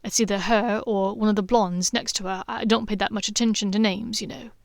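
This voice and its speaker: A woman voicing a playful, affectionate young man who teases in a low, intimate tone. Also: steady tone, brisk, creaky, no echo, clean studio sound, natural voice. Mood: teasing. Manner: teasing, low and intimate, sometimes half-whispered.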